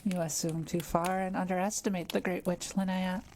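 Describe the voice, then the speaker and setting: Kind witch for audio roleplay, female performer: relaxed, crackly low-leaning voice, gentle and thoughtful, quietly proud of her craft. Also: moderate pace, close to an everyday voice. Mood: proudly confident. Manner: gentle, hesitant, explanatory musing with small hums. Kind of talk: intimate audio roleplay addressed to the listener.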